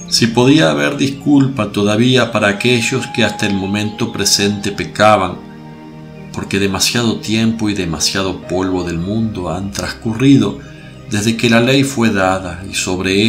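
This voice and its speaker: An actor playing a solemn, compassionate preacher, speaking in a measured, earnest way in a radio drama. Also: male voice, lowish voice.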